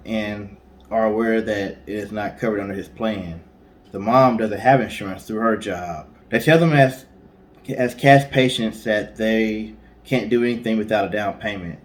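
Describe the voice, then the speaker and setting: A man's voice: fairly low pitch, normal speed. A man co-hosting a casual storytelling show, speaking conversationally with informal asides. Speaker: co-host.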